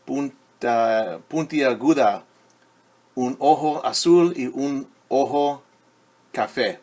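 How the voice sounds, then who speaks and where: A man teacher reading a picture book aloud to children in an expressive, deliberate way, with wondering asides. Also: medium pitch. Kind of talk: storytime read-aloud with commentary for children.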